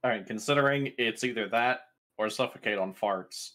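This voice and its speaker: A man's voice: lowish voice, moderate pace, fairly bright tone. A man playing a tabletop game, chatting casually with wisecracks and some swearing.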